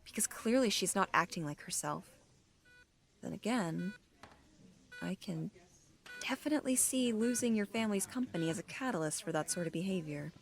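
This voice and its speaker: An actress playing a steady, frank woman police captain with a dry manner, in an audio drama. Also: medium pitch, moderate pace, gravelly, pauses often, faint music behind. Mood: wry, cautioning. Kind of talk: audio drama acting, naturalistic dialogue.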